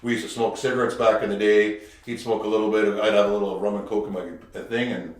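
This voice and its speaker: A Canadian man hosting a podcast, chatting casually and telling brash, animated stories with plenty of swearing. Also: on the low side, quick pace. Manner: brash, animated storyteller who swears freely. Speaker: podcast host. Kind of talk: casual interview chat. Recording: very echoey room.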